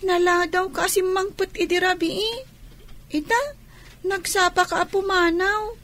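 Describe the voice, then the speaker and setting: A woman's voice: extremely high pitch, steady tone, measured pace, fairly bright tone. A woman playing a clingy young daughter in a radio drama. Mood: clingy, questioning. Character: young daughter, clingy little child pleading with Daddy.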